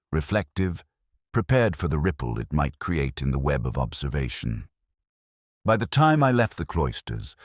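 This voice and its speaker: A man playing a reflective, observant detective inspector, narrating his mystery in a measured, solemn, literary manner. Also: deep voice, no echo, studio quality. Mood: brooding, reflective. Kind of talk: scripted first-person mystery narration.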